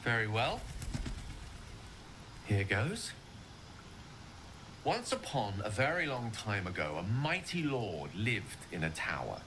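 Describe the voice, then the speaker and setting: A learned English wizard tells his story in a fairly deep, expressive voice. He is rueful and theatrical, choosing ornate words and pausing for effect, as in a fantasy video game. Noise is clearly audible behind him. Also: heavily acted. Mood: wry, confiding. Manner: erudite, flowery, dramatic phrasing with measured pauses. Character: eloquent, rueful male wizard recounting his own folly.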